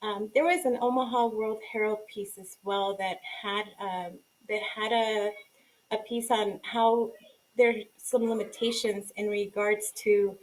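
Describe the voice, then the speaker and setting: A woman's voice: medium pitch, fairly even intonation, a little slow, low-quality recording. A young adult woman from Guatemala, a native Spanish speaker, speaking as a community organizer in an earnest, informal presentation with frequent ums and restarts.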